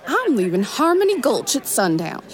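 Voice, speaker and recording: A woman's voice: high voice, huge pitch swings, normal speed, crowd noise. An actress playing a blunt, fearless woman gunslinger who calls out a con man in a radio drama. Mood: challenging. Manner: blunt, challenging, says what she means. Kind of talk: musical radio drama acting.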